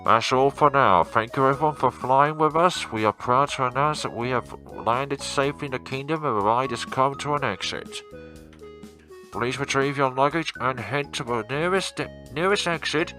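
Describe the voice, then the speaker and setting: A man's voice: mid-range voice, normal speed, pushed and bright, voice pushed up, lightly acted. A man voicing a polite train announcer giving a formal public announcement in a visual novel. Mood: formal, upbeat. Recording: music playing behind the voice.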